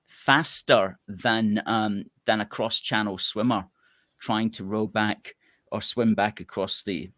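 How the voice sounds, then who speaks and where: A man's voice: fairly low pitch, steady tone, slow pace, a bit bright, no room sound. A man interviewing a guest, giving strong opinions through long, rambling analogies. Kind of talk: political interview.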